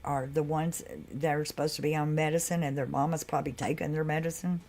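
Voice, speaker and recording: A woman hosting a live stream in a loose, chatty way, with a deep voice that carries. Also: level tone, fast talker, a little mellow. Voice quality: deep, carrying voice. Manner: loose and chatty, with laughs and asides to viewers. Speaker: livestream host. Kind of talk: casual live chat.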